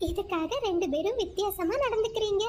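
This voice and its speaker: Woman as a very high-voiced mother sparrow. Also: a little fast, a little mellow, slight background noise. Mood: tender, mildly firm. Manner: tender, motherly. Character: gentle, hardworking mother sparrow, caring and anxious.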